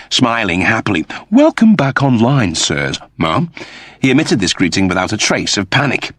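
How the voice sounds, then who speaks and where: A man's voice: mid-range voice, a bit bright, no room sound, studio quality. A man reading a story as a narrator, in a dramatic scripted style. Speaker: audiobook narrator.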